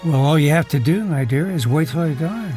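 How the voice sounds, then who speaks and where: Man playing a wry, higher-voiced husband, music behind. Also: animated, fairly quick, a little mellow, lightly acted. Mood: teasing, indulgent. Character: wealthy, wry husband who uses a wheelchair. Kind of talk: audio drama acting, first-person narration and dialogue.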